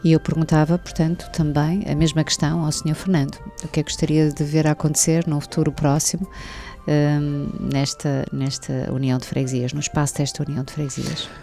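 A woman moderates a panel, asking polite, formal questions. Her voice is very low and dark, with faint music in the background. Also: flat delivery, a little fast. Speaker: host.

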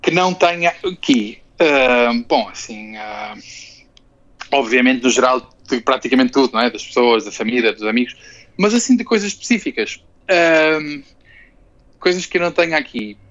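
A man's voice: medium pitch, lively intonation, normal speed, a bit bright. A young man, chatting casually as an interviewee, rambling with restarts and frequent tag questions. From Portugal. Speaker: interviewee, Portuguese living in Barcelona. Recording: dull lo-fi sound.

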